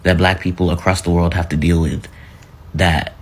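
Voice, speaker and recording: A man from Britain with a very deep, husky voice. He talks in a loose, chatty way, dropping "like" throughout. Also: level tone, moderate pace. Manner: chatty, lots of "like". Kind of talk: casual reaction video.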